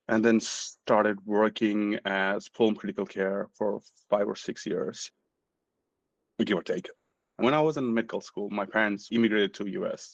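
A man's voice: lowish voice, vocal fry, no room sound, dull audio. A middle-aged man from Nepal with a Nepali accent, telling his personal story as an interview guest. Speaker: podcast guest, medical student.

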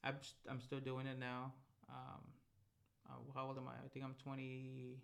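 A man's voice: mid-range voice, monotone, moderate pace, vocal fry, a bit bright. A man, co-hosting a podcast in a laid-back, slangy, rambling chat. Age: 20s. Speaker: podcast co-host. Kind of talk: casual chat.

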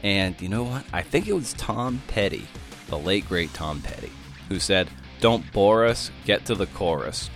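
A man's voice: on the low side, animated, unhurried, fairly bright tone, faint music behind. A man working as a podcast host, moving between scripted introduction and casual interview talk.

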